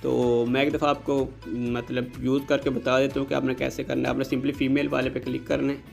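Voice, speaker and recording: A man presents a phone app tutorial in a polite, casual way. His pitch stays fairly flat and his voice has a creaky, fried texture. Music plays faintly behind his narration. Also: a little fast. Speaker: host of a tech tutorial channel.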